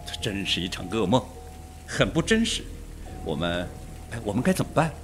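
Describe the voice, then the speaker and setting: Excitable police detective played by a man, swinging pitch. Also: moderate pace, soft background music. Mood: agitated. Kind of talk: Mandarin radio drama acting.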